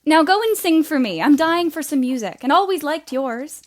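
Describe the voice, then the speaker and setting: Jo, a spirited high-voiced young woman. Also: lively intonation, normal speed. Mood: teasing, spirited. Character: spirited, restless young woman writer, blunt but caring. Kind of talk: audio play acting of a period novel.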